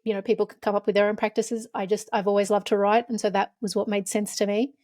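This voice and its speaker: A woman's voice: medium pitch, flat delivery, fast talker, darkish tone, dry recording, studio quality. A woman from Lebanon hosting a podcast, talking openly and reflectively in casual chat. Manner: open, emotional, reflective. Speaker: podcast host.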